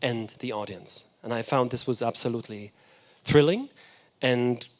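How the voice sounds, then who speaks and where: A man's voice: mid-range voice, normal speed, fairly bright tone. A man whose first language is German, giving an enthusiastic, reflective architecture lecture. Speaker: guest lecturer.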